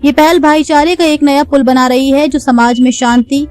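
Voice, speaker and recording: A woman's voice: sits high, steady tone, moderate pace, darkish tone, dry recording, soft background music. A woman reading a scripted news report as a narrator.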